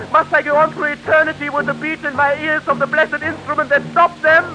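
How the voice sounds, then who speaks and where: An unhinged, music-haunted killer. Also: male voice, very high-pitched. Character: unhinged middle-aged man, murderous, tormented by music. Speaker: lead actor in a radio play. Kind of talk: 1940s radio drama acting. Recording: muffled old radio broadcast with loud music.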